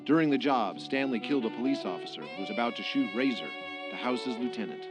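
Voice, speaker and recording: A man's voice: mid-range voice, animated, fast talker. A man narrating a radio drama from a script in story-telling style. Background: prominent music.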